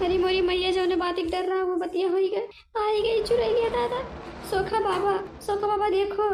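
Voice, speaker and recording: Woman voicing a shrill, fretful cartoon village housewife. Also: level tone, normal speed, noisy background. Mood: frightened. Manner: exclamatory, fretful, repetitive. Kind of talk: animated comedy cartoon voice acting in dialect.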